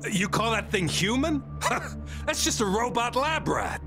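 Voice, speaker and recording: A man playing a sneering, impatient criminal thug in a game, gravelly, mocking and swaggering. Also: very high voice, big pitch swings, measured pace, music in the background, exaggerated voice. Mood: scornful.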